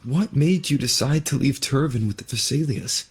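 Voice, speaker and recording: A man voicing a young, curious game protagonist in a brisk, plain, direct manner. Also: medium pitch, a little mellow, breathy edge. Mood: inquiring. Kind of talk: video game voice acting.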